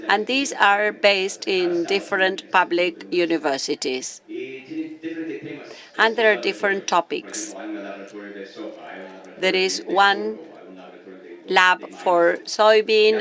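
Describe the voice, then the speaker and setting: A man's voice: high voice, slow pace, long pauses, a crowd in the background. A man, a university professor on a conference panel, speaking carefully as a non-native speaker with a few restarts. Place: conference hall.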